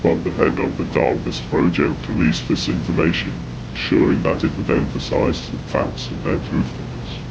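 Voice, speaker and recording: Male narrator for scripted horror, deep and heavily fried whispery rasp, grave delivery, muffled noisy audio. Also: fairly even intonation, moderate pace. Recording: dull, noisy lo-fi audio with rumble.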